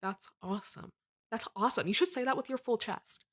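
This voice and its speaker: A woman's voice: on the high side, a little fast, fairly bright tone. A woman playing a quirky, dreamy, earnest manic pixie dream girl in an improvised comedy interview, gushing and whimsically dramatic. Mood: intense, dramatic.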